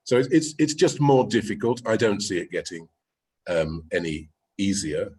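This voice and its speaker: A man speaking on a webinar panel as a real estate agent, measured, often checking in with "yeah?". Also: on the low side, a little mellow, slightly rough. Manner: measured, with frequent "yeah?" tags. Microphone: video call.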